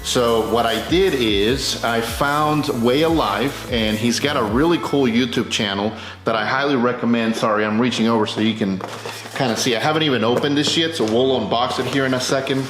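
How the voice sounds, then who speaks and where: A man's voice: mid-range voice, normal speed. A man hosting a casual, unscripted how-to video, explaining gear in a conversational way as he unboxes it. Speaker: video host demonstrating car accessory installation. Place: tight indoor space, roomy sound. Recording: roomy echo, music underneath.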